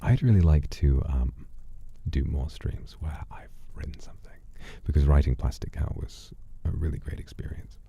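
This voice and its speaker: A man hosting a livestream, chatting casually and reflectively in a soft-spoken, sincere, unhurried way that often drops to a breathy murmur. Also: rumbling low, whispered, highs cut off. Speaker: livestream host.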